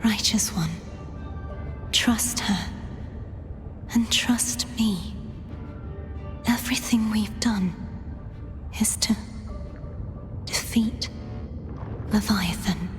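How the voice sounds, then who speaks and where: An actress playing a gentle otherworldly young woman in a video game, imploring in drawn-out phrases. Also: mid-range voice. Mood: pleading, earnest. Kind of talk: scripted video game voice acting. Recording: long ethereal reverb over music.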